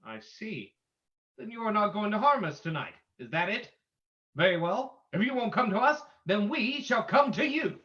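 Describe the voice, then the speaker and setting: A man playing a bold, confident gentleman detective in an old-time radio drama, theatrical and commanding. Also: high voice, big pitch swings, normal speed, highs cut off, exaggerated voice. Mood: defiant.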